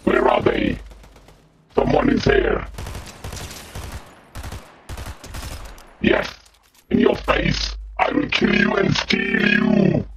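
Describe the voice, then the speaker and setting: A man voicing a menacing, gleeful demon with a guttural growl, commentating on a video game in slow theatrical phrases. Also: sits high, wildly swooping pitch, a bit bright, full character voice. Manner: slow, theatrical, drawn-out phrases with evil laughs. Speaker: gamer. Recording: muffled lo-fi audio with clear noise.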